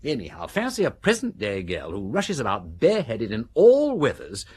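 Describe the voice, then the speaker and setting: An actor playing a witty aristocratic English amateur detective in a British radio drama, brisk and theatrical as he reasons aloud. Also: medium pitch, huge pitch swings, darkish tone, breathy edge. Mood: keen, argumentative. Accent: upper-class English.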